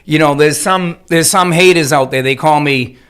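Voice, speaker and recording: Boston-accented man, emphatic and high-pitched. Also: moderate pace, fairly bright tone, clean tone. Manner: emphatic, repeats words while searching. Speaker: city council candidate. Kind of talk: radio interview.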